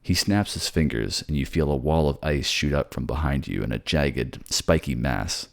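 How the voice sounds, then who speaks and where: A man's voice: very deep voice, normal speed, gravelly. A man working as a voice actor and narrator, in an ASMR storytelling style. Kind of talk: soft narration and casual sign-off.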